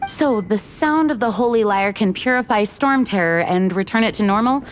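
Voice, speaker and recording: A woman's voice: medium pitch, lively intonation, normal speed, soft background music, natural voice. Woman acting dutiful, formal lady knight commander. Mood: earnest. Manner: formal, careful, articulate. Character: earnest, dutiful young female knight commander. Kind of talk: video game voice acting.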